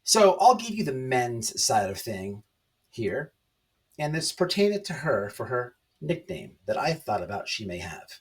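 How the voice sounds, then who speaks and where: A man's voice: mid-range voice, very animated, measured pace. Emphatic man commentating, a bit distant. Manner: emphatic, repeats phrases, tags sentences with "right?". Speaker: online commentary channel host. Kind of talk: casual opinionated monologue to viewers.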